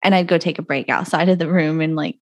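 A woman co-hosting a podcast, her voice sitting a little low, in a loose, rambling chat. She laughs often and trails off mid-thought, and the sound is dry and close, captured through a video call. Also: quick pace, dry recording. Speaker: podcast co-host. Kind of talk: casual chat. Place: home recording closet over a video call. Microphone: video call audio.

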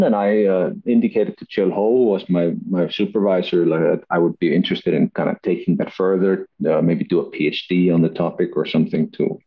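A man from Iceland telling his story on a podcast in a chatty, relaxed way, with frequent "uh" fillers and restarts. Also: low-pitched, slightly rough, highs cut off. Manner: chatty, laughs often, many "uh" fillers and restarts. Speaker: guest, acoustics company CEO. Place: Reykjavik, home or office.

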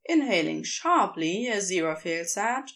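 A woman's voice: mid-range voice, very animated, moderate pace, a bit bright. A woman narrating scripted fiction expressively, as for an audiobook.

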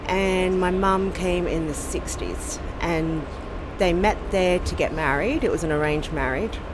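An Australian woman from Sydney, speaking in a casual, thoughtful interview and pausing often with ums and restarts. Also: on the low side, noisy background. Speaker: podcast host being interviewed.

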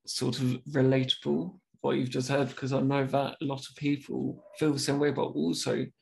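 A man's voice: mid-range voice, level tone, vocal fry, a little mellow, poor audio. A young man who stammers, talking openly about it in a casual conversation as host. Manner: speaks with a stammer, pausing and restarting words.

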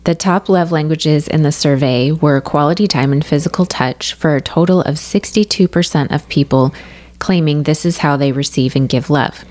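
Low-voiced woman podcast host. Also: moderate pace, a little mellow. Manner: organized, reads stats and prompts. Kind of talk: podcast hosting, partly read intro.

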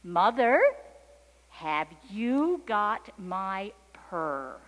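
A woman voicing a small, plaintive female kitten with pleading, drawn-out questions in a storybook reading. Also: very high voice, very animated, fairly bright tone, big-room sound, voice pushed up, heavily acted, poor audio. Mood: weary, pleading.

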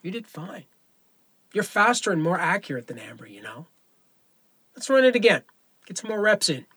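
An actor plays a male firearms range instructor with a bright, forceful voice in a very dry recording. He is brisk and commanding but encouraging, in an audio drama style. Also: sits high, sing-song, husky, dry recording, heavily acted. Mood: coaxing, supportive. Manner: commanding, clipped instruction with coaxing pep talk.

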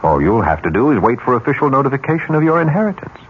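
An actor playing a smooth, calculating broker of arranged murders in a 1970s radio drama, persuasive and businesslike. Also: male voice, mid-range voice, sing-song, very fast, lightly acted. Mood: quietly persuasive. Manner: businesslike, persuasive, coolly insinuating. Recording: muffled, noisy 1970s radio broadcast.

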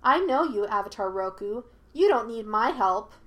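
A woman voicing a mischievous, childlike monkey spirit in a story, high-pitched, petulant and mocking with a sing-song manner. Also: lively intonation, moderate pace, a bit bright. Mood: mocking, accusing.

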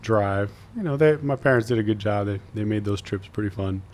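A man's voice: lowish voice, a little fast, darkish tone, a little hiss or hum. A young man from Florida, a podcast guest talking easily, with many uhs and restarts. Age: young adult. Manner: easygoing, hesitant, many uhs. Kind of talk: casual interview chat.

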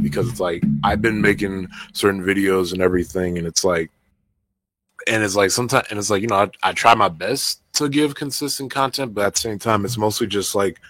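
A man's voice: low voice, background noise. A man in a casual group chat asking a long, rambling question, full of hedges and fillers. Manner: rambling, hedging, many fillers.

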